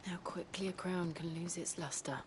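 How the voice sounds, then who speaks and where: An actress playing a cautious, thoughtful travelling companion in a video game cutscene, composed and measured. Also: female voice, lowish voice, steady tone, noisy background, lightly acted. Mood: wistful.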